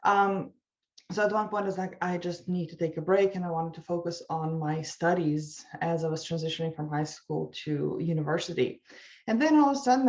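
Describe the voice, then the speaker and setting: A woman with a Slovenian accent talks easily as an interview guest, wandering off on tangents and laughing as she goes. Her voice sits fairly low. The call audio sounds dull and lo-fi. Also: darkish tone. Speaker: guest, life coach. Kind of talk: casual interview chat.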